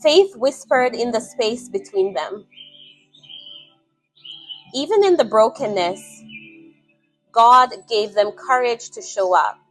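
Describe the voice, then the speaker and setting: A woman narrating a scripted dramatic story in a solemn, measured manner, in short phrases. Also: fairly high pitch, expressive, faint music behind, low-quality recording.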